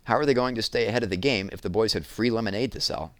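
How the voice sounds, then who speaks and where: A man's voice: on the low side, quick pace, fairly bright tone, no room sound. A man reading a children's novel aloud as a storytelling narrator.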